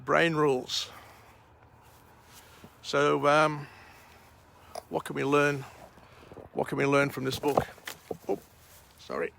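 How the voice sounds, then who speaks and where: A middle-aged Australian man with a croaky, gravelly voice, talking slowly and evenly at a fairly high pitch. He rambles with many pauses and fillers. He records outdoors on a handheld camera at sunrise. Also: flat delivery, fairly bright tone, a little hiss or hum. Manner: slow, rambling, frequent uh and um. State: croaky voice after a night of drinking. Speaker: vlog host. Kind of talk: outdoor morning vlog and book summary.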